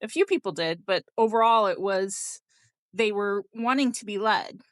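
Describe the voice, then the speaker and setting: A woman talks casually and reflectively in a group discussion. Her voice is smooth and clean, recorded dry and close. She phrases her thoughts haltingly as she goes. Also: mid-range voice, no echo. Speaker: podcast guest, heathen hall member.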